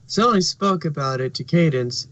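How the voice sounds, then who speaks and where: A man's voice: a little high, animated, moderate pace, a bit bright, dry recording. A man playing a sleepy younger alicorn princess in a fan fiction reading. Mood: defensive. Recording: muffled lo-fi home recording.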